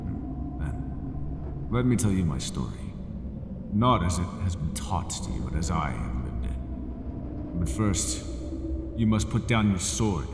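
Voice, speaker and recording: Man doing a rumbling, gravelly old beast of the maze, slow and softly menacing, lively melody, cavernous echo, steady hiss. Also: full character voice. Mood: persuasive. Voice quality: deep gravelly voice. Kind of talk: ASMR roleplay. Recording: echoey cavernous reverb with steady noise.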